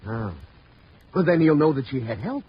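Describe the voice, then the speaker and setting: An actor playing an earnest, naive amateur detective's assistant in an old radio drama, with a nervous, hesitant way of talking. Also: male voice, a little high, very animated, normal speed. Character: eager, nervous male amateur sidekick, married, naive. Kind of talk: 1950s radio drama acting. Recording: dull, noisy vintage radio recording.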